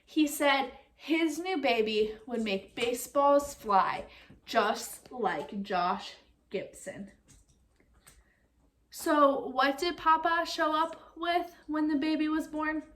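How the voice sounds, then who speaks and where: A woman reading a picture book aloud like a teacher, expressive and speaking directly to young listeners. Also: sits high, slow, slight background noise. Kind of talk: read-aloud storytelling with comprehension questions.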